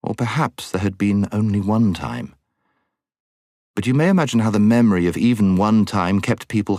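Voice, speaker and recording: A man with an English accent reading a children's novel as an expressive audiobook narrator. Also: deep voice, no room sound, studio quality. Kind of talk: expressive scripted storybook narration.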